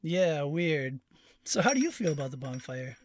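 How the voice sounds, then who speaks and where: A woman's voice: deep voice, big pitch swings, normal speed, a little mellow, slight background noise. A woman playing a goofy, laid-back young guy in an audio drama, slangy and playful. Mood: excited.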